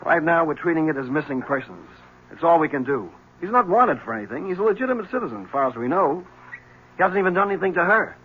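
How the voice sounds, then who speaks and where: An actor playing a wry, unbothered police lieutenant in a 1970s radio mystery, talking in a dry, matter-of-fact way. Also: male voice, on the high side, sing-song, quick pace, a little hiss or hum. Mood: weary, matter-of-fact. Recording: dull old radio broadcast.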